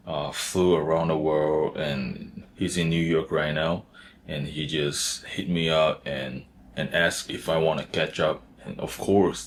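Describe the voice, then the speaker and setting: A man's voice: extremely low pitch, a little hiss or hum. A man in his thirties who grew up in Taiwan, talking casually and reflectively about his life as a freelancer, with fillers and restarts. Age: 30s. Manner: relaxed, rambling, with fillers and restarts. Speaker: vlogger, freelance designer and coach.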